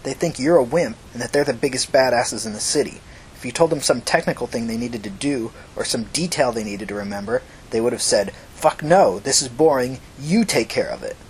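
A man's voice: mid-range voice, lively intonation, fairly quick, slight background noise, close to an everyday voice, rough recording. A man voicing a vengeful, foul-mouthed young woman who threatens and commands in short emphatic bursts in an audiobook story. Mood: menacing, commanding.

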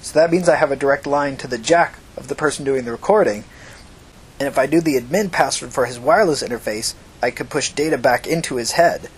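A man's voice: medium pitch, brisk, slightly rough, low-quality recording. Man acting a cowed, whiny young hacker. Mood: hesitant, explaining. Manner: whiny, hesitant, reluctant. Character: cowed, tearful, evasive male hacker.

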